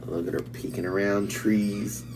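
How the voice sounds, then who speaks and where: A man reading a children's picture book aloud in an expressive, dramatic way, with asides to young listeners. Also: fairly low pitch, measured pace, over music. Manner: expressive, dramatic, exclaiming and dropping to hushed tones. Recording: distant mic with clear background noise.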